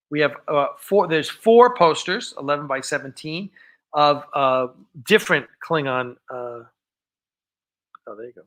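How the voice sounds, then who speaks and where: Male livestream host, forceful bright tone, casual, halting and rambling delivery. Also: fairly high pitch. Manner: rambling, halting, self-interrupting. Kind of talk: casual, unscripted livestream chat.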